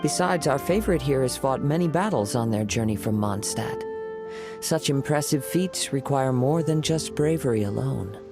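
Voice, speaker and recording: A man playing a soft-spoken poetic wandering samurai. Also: mid-range voice, expressive, close to an everyday voice. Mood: curious. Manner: soft-spoken, formal, measured, often whispery. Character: calm young male wandering samurai, poetic and courteous. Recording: game cutscene with music behind.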